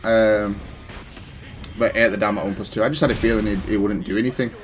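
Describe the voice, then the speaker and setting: A man's voice: fairly low pitch, fairly even intonation, fairly quick. A man giving casual, analytical commentary on his own gaming match, explaining his decisions with some self-correction. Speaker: gamer reviewing own match. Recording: music playing behind the voice. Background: music.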